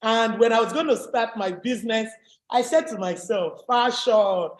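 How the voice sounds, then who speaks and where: A woman with a deep voice, giving an emphatic, motivational webinar talk as a business coach. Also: normal speed. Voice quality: deep, low voice. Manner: emphatic, repetitive, rallying. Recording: echoey room.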